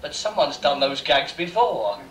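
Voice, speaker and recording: Man in drag as a high-voiced saucy panto dame. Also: big pitch swings, unhurried, fairly bright tone. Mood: saucy, brassy. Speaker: amateur pantomime performer. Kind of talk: comic stage verse recitation. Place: small theatre bar stage, indoors. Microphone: far camera. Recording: distant echoey noisy bar with audience.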